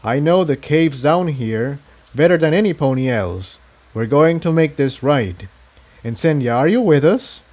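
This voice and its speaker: Man as a heroic male cartoon pony, slightly high voice, emphatic, protective, earnest. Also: animated, measured pace, darkish tone. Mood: determined.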